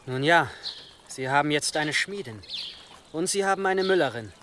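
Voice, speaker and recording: A man's voice: on the high side, lively intonation, normal speed, noisy background, natural voice. A man playing a deferential former manservant, polite and hesitant, in a radio drama. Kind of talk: German radio drama acting.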